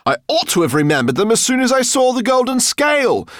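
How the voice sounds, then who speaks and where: A man playing a plucky, quick-witted, gentlemanly older professor who speaks urgently, often in a whisper. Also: lowish voice, dry recording, studio quality, pitched above the performer's own voice, natural voice. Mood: loud defiance.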